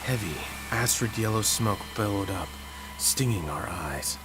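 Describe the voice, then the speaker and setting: A man narrating a scripted first-person horror story in a measured storyteller's delivery. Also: fairly low pitch, lively intonation, noisy background.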